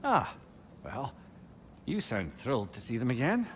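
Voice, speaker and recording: An actor playing a wry, talkative old adviser, wordy and philosophical, in a video game. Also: male voice, medium pitch, wildly swooping pitch, measured pace, a bit bright, slight background noise. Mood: dryly wry. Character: wry, talkative, counselling old male companion. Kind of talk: dramatic video game acting.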